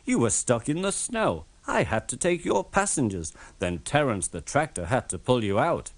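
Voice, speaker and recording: A man's voice: medium pitch, big pitch swings, moderate pace. A man voicing a friendly but boastful male bus in a children's storybook, teasing and breezy.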